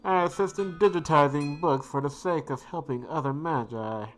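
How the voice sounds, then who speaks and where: An earnest student mage from a fantasy game, acted by a woman, with a low female voice. The speech is formal, precise and studious. Faint music sits underneath. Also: moderate pace, close to an everyday voice. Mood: earnest, composed. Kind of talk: scripted game dialogue.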